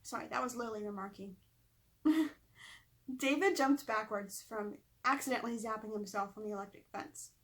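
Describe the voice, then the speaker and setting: A woman's voice: a little high, moderate pace. A woman reading a children's story aloud expressively, with chatty asides to her listeners. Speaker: author reading own book aloud. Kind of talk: storybook read-aloud narration.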